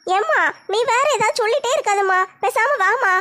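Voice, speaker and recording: A woman voicing a modest, loving teenage daughter in a family skit, with a soft, pleading way of talking. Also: very high-pitched, fairly quick, pitched above the performer's own voice.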